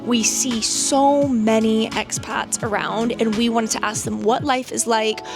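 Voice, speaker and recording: Female vlog host, bright and chatty, higher register, music bed behind. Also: fairly even intonation, unhurried. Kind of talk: casual vlog intro and interview. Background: background music.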